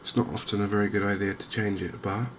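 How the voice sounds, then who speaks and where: Man, lowish voice, fairly even intonation, soft ASMR game commentary, gentle and musing, noticeable ambient noise. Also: quick pace. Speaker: gaming video host. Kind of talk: softly spoken cozy ASMR gaming commentary. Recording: clear steady ambient background noise.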